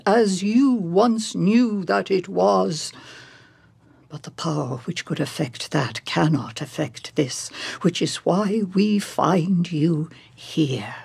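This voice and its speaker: An actress playing a probing, persuasive woman in a radio drama, questioning in a measured, insistent way with a husky, creaky voice. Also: mid-range voice, darkish tone, no room sound, heavily acted.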